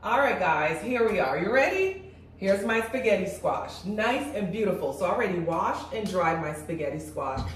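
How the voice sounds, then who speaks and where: A woman's voice: mid-range voice, animated, normal speed, a little hiss or hum. A woman in her forties with a congested voice, chatting viewers through a cooking demonstration in her home kitchen. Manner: chatty, talks viewers through each step. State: congested, voice a little off. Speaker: cooking channel host. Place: large home kitchen indoors. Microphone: far camera. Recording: echoey kitchen from far off.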